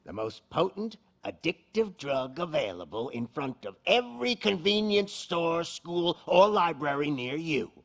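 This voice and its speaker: A man's voice: fairly high pitch, very animated, unhurried, vocal fry, hardly any pauses, full character voice. A man playing a cocky, menacing gang boss in a video game, with a taunting showman's delivery. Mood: mock-announcer swagger. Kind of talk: video game villain acting.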